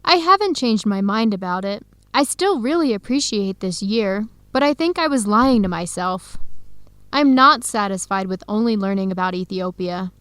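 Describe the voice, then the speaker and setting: A woman playing an earnest, heartbroken young American researcher in a radio drama, speaking clearly and conversationally. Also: mid-range voice, expressive, moderate pace, fairly bright tone, dry recording, natural voice. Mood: disappointed, reflective. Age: young adult. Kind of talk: scripted radio drama dialogue.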